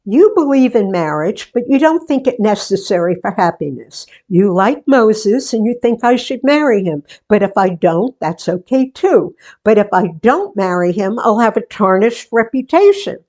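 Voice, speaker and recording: A woman playing a sentimental, playful daughter and hostess in a 1940s-style radio theatre monologue, with deliberate, theatrical delivery. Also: mid-range voice, sing-song, darkish tone, natural voice. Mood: exasperated, teasing. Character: sentimental daughter, book-show hostess, playfully resisting marriage.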